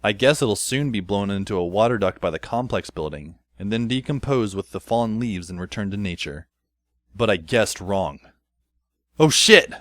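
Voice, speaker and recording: A man's voice: on the low side, lively intonation, normal speed, fairly bright tone, no echo. A man playing an exasperated, sardonic teenage schoolboy who narrates an audio drama in a wry, self-questioning, conversational way. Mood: musing, then alarmed. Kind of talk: first-person audio drama narration and dialogue. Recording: music behind the voice. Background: background music.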